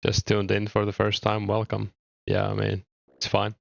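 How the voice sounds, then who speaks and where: A young man from Finland with a mild Finnish accent, chatting casually with viewers while streaming a game, relaxed and thinking aloud with small hesitations. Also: fairly low pitch, moderate pace, creaky, dry recording, lo-fi sound. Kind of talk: casual chat reading viewer comments.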